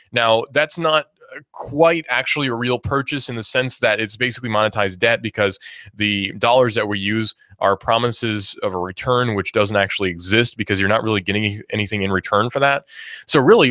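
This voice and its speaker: A young man in his twenties talks through his views on a radio show, looping back and restarting his sentences as he goes. His voice sits fairly low, and the sound is close and dry with no room echo. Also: pushed and bright. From United States. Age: 20s. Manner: rambling, opinionated, many restarts and fillers. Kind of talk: casual talk radio monologue.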